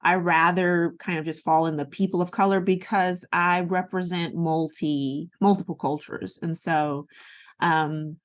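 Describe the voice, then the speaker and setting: A middle-aged woman with an American accent, speaking as a podcast guest in casual, reflective, expressive conversation. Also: lowish voice, measured pace. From United States.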